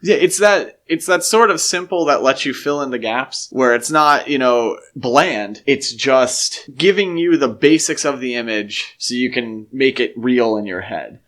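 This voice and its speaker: A man speaks as a podcast co-host in a casual film discussion. His pitch sits in the middle range for a man. He explains his points analytically and in a measured, conversational way. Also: a bit bright.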